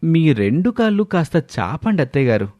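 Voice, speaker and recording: A man voicing a shameless idler son-in-law who flatters and wheedles with exaggerated respect in an audio story. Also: on the high side, a little fast, no room sound. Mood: mock innocent.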